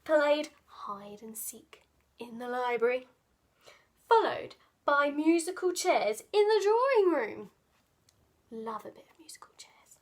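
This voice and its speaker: A woman reading a children's picture book aloud, dramatic and playful, often dropping into hushed asides. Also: sits high, expressive, slow. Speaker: children's storyteller.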